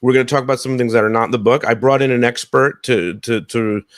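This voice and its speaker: Male podcast host, thirties to forties, medium pitch, dry sound, casual delivery with stammered repeats. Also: fast talker, no echo. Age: 30s to 40s. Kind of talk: casual hosting chat. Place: room at home, indoors.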